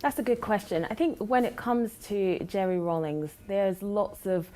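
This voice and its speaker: A woman's voice: mid-range voice, moderate pace, warm tone. A woman with a Scottish accent, a journalist and broadcaster, discussing as a guest on a talk program, thinking aloud in short phrases. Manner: thoughtful, talks in phrases with fillers.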